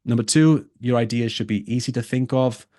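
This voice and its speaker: A man's voice: medium pitch, normal speed, mellow, no echo, studio quality. A man who tutors for a test, teaching a live online lesson and repeating his key points for emphasis.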